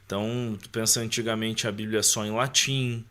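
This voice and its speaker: A man's voice: fairly low pitch, fairly even intonation, normal speed, sharp tone, clear, resonant tone. A man with a Brazilian accent speaking as a podcast guest, sharing personal faith and life in an informal, conversational way, often checking for agreement.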